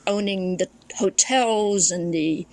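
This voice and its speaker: Woman from thailand on a podcast, unhurried storyteller, mid-pitched voice, trails off and restarts a lot. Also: darkish tone. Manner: reflective, storytelling with frequent restarts. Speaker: podcast guest, memoirist. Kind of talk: casual conversation.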